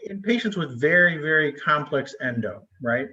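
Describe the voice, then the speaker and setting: Higher-voiced man on dull call audio. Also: expressive, moderate pace, bright, forceful tone. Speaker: host, gynecologic surgeon. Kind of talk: casual interviewing. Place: video call.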